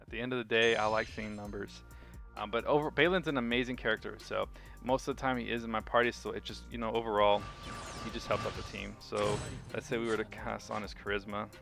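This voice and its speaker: Guy doing casual game commentary, lowish creaky voice, thinks out loud, music behind him. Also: steady tone, fast. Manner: rambling, thinking aloud. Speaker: gaming video host.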